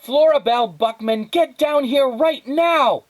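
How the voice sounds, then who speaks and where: A man's voice: very high voice, a little slow, voice pushed up. A man voicing an exasperated, self-important mother in a storybook, scolding in an imperious, emphatic way. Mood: shrill, furious. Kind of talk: storybook read-aloud character.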